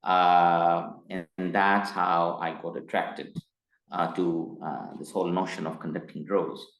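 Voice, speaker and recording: A middle-aged man from Tanzania, speaking as an interview guest in a measured, hesitant way that turns emphatic. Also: low voice, flat delivery, fairly bright tone. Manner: measured, hesitant with frequent uh fillers, grows emphatic. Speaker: guest, company executive. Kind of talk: interview conversation. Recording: roomy echo.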